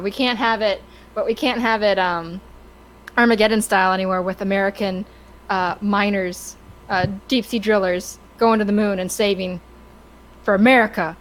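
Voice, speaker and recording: A woman's voice: medium pitch, normal speed, low-quality recording. A woman chatting casually and giving her opinions on a podcast, stammering and restarting her sentences often. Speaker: podcast co-host.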